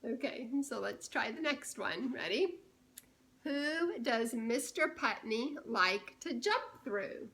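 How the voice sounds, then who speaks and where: Woman, children's story time reader: high, very animated, unhurried, playful questioning, faint background noise. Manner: playful, expressive, drawn-out delivery of key words with teasing pauses. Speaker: children's storybook reader and church children's ministries director. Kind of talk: read-aloud story time for young children, asking guessing questions.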